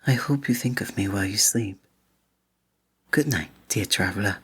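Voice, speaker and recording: Man playing a soft, breathy, gallant fantasy innkeeper. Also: lowish voice, normal speed. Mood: flirtatious, tender. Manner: formal, old-fashioned, gallant, gentle phrasing. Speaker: ASMR roleplay performer. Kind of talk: scripted romantic ASMR roleplay.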